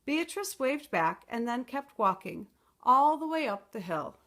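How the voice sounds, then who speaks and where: A woman reading a children's picture book aloud as a storytime teacher, expressive and explanatory. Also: sits high, unhurried.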